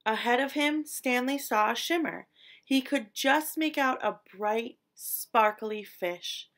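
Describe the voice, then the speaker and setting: A woman storyteller narrating a children's picture book expressively, with deliberate, dramatic emphasis on descriptive words. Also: a little high, fairly bright tone. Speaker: read-aloud storyteller.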